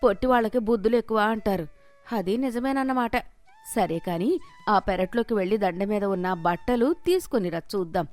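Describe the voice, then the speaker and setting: A woman's voice: medium pitch, expressive, normal speed, a little mellow, faint music behind, close to an everyday voice. A woman voicing a proud, fussy village mother-in-law with a mocking, domineering way of talking, in a moral story. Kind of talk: Telugu moral story character voice.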